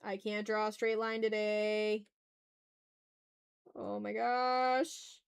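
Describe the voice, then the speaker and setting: A woman's voice: medium pitch, little pitch movement, very slow, vocal fry, clear, resonant tone, no echo, clean studio sound. A woman livestreaming as she draws, chatting casually in slang and muttering to herself with playfully dramatic, exasperated asides. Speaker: art livestreamer, host.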